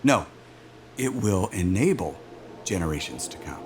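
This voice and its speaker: A man's voice: low voice, very animated, unhurried, a little mellow, soft background music. An actor playing a chummy, persuasive aerospace executive interviewer in a cinematic audio drama, with a chatty, polished salesman manner. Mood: persuasive, measured. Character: smooth, glib male aerospace executive recruiter, chummy and persuasive.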